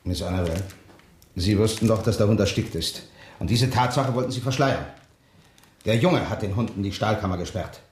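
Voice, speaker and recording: An actor playing a stern, accusing police detective in a crime radio play, recorded with echo at some distance. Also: male voice, on the low side, brisk, a little hiss or hum, lightly acted. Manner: authoritative, accusing. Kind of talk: 1970s German crime radio play acting.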